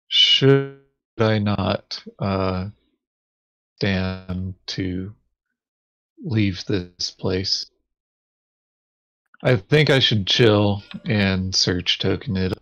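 A man's voice: lowish voice, fairly even intonation, very slow, long pauses, pushed and bright, lo-fi sound. A man chatting casually as he plays a board game, hesitantly thinking aloud. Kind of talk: casual game play chat.

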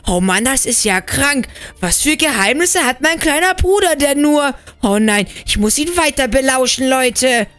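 A high, boyish voice of an excitable big brother in a game story, played by a woman. He exclaims nervously to his viewers and stumbles over words when flustered. Faint music plays behind. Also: a little slow, few pauses. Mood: alarmed. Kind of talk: acted role-play game story for viewers.

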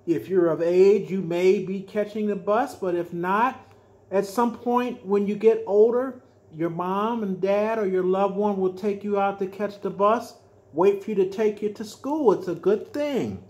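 An older man reading a children's picture book aloud as a storyteller, adding simple explanations with drawn-out emphatic words. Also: sits high, darkish tone, highs cut off. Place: small furnished room at home, indoors.

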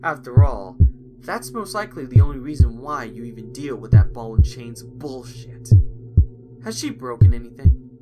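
Man acting a cocky young sleuth over loud music. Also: medium pitch, lively intonation, moderate pace, natural voice. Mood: taunting, accusing. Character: bold, perceptive young male detective-minded employee. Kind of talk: animated fan audio drama acting.

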